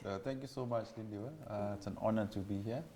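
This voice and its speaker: A man's voice: fairly low pitch, steady tone, quick pace, warm tone. A young man with a South African accent, an interview guest casually telling his own story. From Durban, South Africa. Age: young adult. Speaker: interview guest, finance executive.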